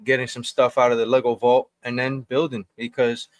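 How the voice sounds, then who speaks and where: Easygoing male guest on a video call, mid-pitched, laughs easily, dry close sound. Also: level tone, brisk, no echo. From New York state. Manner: laid-back, laughs easily, repeats phrases. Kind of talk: casual interview chat.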